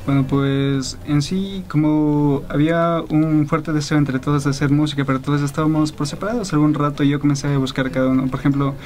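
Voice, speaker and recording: A man's voice: fairly high pitch, a little mellow. A young man in his twenties from Ecuador, a band guitarist, answering interview questions casually with many fillers in a music studio. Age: 20s.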